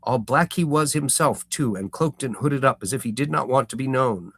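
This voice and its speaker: A man voicing a proud, stubborn old hobbit farmer who tells his tale with slow relish and rustic grammar. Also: mid-range voice, gravelly, a bit bright, slightly rough, no echo, pitched above the performer's own voice. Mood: indignant. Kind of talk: reading a novel aloud.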